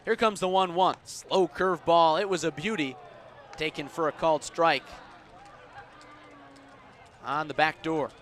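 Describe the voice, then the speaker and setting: Higher-pitched man calling baseball on radio. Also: steady tone, unhurried, pauses often, a bit bright. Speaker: baseball play-by-play announcer. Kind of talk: live radio sports commentary. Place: outdoors at a baseball stadium. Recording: outdoor ballpark ambience noise behind.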